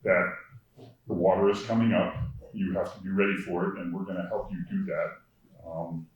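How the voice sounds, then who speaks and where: A man with a deep voice, chairing a committee and giving a forum presentation with some fillers. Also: little pitch movement, moderate pace, muffled recording. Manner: plain public speaking with fillers. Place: public forum room. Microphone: handheld or podium microphone. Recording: very echoey room, mic held far off.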